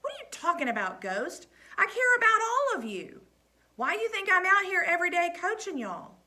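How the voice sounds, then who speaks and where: A woman's voice: very high voice, sing-song, normal speed, bright, forceful tone, pitched above the performer's own voice, exaggerated voice. Woman doing a stern, caring coach. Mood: exasperated. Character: stern caring adult male track coach.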